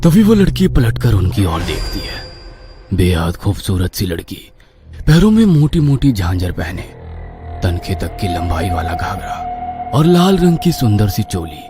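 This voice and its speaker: Expressive low-voiced male storyteller. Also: measured pace, music in the background. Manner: theatrical, suspenseful storytelling. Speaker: narrator. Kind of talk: dramatic horror story narration in Hindi.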